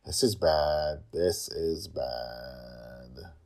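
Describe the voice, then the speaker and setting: A man with a husky voice playing a tense, protective brother who speaks urgently and insistently in a read-aloud story. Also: on the low side, sing-song, slow pace, darkish tone, pitched above the performer's own voice, full character voice.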